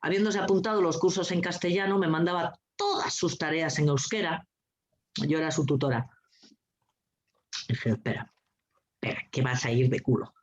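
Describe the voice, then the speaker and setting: Mujer sarcástica y directa, grabación apagada. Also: female voice, sits low, moderate pace, pauses often. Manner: blunt, sarcastic, swears freely, laughs often. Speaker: guest speaker, teacher trainer. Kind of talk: lively anecdotal talk. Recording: dull lo-fi recording.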